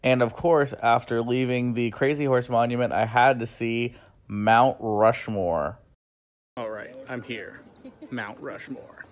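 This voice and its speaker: A man's voice: medium pitch, fairly even intonation, slow. A young man talking casually and enthusiastically while he records a road-trip vlog. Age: young adult. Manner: enthusiastic, easygoing. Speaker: travel vlogger. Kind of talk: casual travel vlog with voice-over. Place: outdoors, windy open land. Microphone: phone camera at arm's length. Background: wind.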